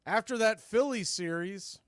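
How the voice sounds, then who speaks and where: A man calling baseball play-by-play as a radio announcer, in clipped, folksy phrases that range from quiet to excited. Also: high voice, measured pace, dry recording, clean studio sound. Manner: swings from hushed calls to excited shouts. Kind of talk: live radio play-by-play commentary.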